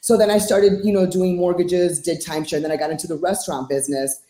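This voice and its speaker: A woman's voice: on the low side, steady tone, fast, mellow. A woman in her forties from Miami, speaking bluntly and emphatically as a fitness coach answering interview questions. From Miami, United States. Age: 40s. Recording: roomy, echoing sound.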